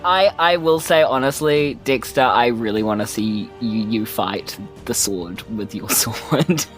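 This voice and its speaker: A man's voice: medium pitch, measured pace, a bit bright. A man with a New Zealand accent chatting casually as a tabletop game player, music playing behind. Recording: music bed under speech.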